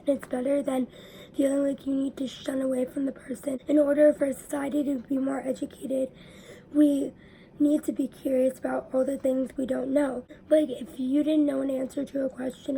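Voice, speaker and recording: A young woman speaks to her viewers in a raspy, high voice with a very dark, mellow tone. She explains things earnestly, and now and then a word fades into a whisper. A faint hiss of room noise sits underneath. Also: rough recording. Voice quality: raspy from allergies. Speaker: vlogger. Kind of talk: personal talk to camera.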